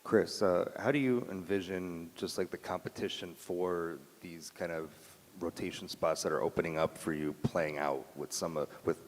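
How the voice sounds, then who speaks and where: A man's voice: low-pitched, fairly even intonation, moderate pace, hardly any pauses. A man asking questions as a reporter at a press conference. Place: indoor press conference room. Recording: reverberant press room.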